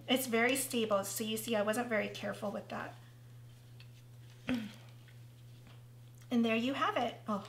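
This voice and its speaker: Woman, slightly high voice, losing her voice, chatty craft tutorial, echoey room, faint hum. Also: sharp tone. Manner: chatty, thinking aloud while working.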